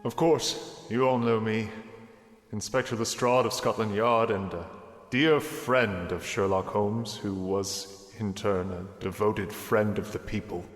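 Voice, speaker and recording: Echoing hall, solemn Victorian police inspector. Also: male voice, fairly low pitch. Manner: formal, declamatory. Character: solemn Victorian Scotland Yard police inspector giving eulogy. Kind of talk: radio drama eulogy. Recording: echoey chapel-like hall reverb.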